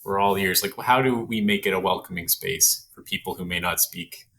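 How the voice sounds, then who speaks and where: Goofy, rambling Canadian host. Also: male voice, sits low, fairly quick, creaky, a bit bright, a little hiss or hum. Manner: goofy, rambling, laughs often. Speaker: podcast host. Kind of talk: casual interview.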